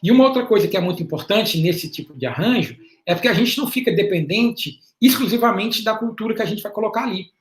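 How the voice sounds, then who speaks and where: A man giving a slide presentation as an invited panelist in an online talk. He speaks in a halting, explanatory way, repeats words and often checks in with a questioning "right?". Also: high voice, animated. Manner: explanatory, measured. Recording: roomy echo on an online call.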